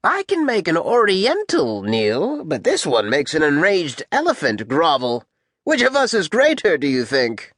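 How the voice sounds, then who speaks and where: A man voicing a mocking, imperious warlord with a high-pitched, grating voice, in an audiobook style. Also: wildly swooping pitch, a little slow, fairly bright tone, no room sound, clean studio sound, heavily acted. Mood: amused, boastful. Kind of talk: audiobook character acting.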